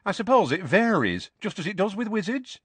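A man voicing a bright, curious young witch in a storybook, blunt, informal and chatty. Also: high voice, sing-song, brisk, husky, no room sound, pitched above the performer's own voice, exaggerated voice. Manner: chatty, conversational.

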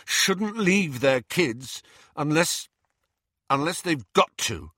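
A man reading a storybook, voicing an angry teenage boy with a sharp, cutting, scornful manner. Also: on the high side, expressive, slow pace, husky, no room sound, studio quality, voice pushed up, full character voice. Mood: bitter.

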